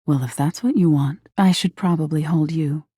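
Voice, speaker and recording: A woman playing a living nightmare spirit in a video game, flirty and menacing yet secretly insecure, with a breathy, teasing delivery that often drops into whispers. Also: sits low, moderate pace, warm tone, no echo, clean studio sound. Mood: tender, teasing. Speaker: voice actor in a dating simulator game. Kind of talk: intimate theatrical video game acting.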